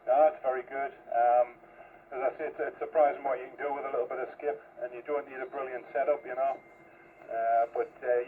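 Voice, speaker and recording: A man in casual radio chat as an amateur CB operator, heard over a two-way radio. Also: medium pitch. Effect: two-way radio transmission. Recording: tinny, noisy CB radio transmission.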